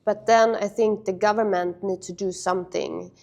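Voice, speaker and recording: Swedish-raised woman, halting casual speech. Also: mid-range voice, steady tone, a little mellow. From Sweden. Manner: halting, with many fillers and restarts. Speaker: interview guest, padel player. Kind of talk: casual interview.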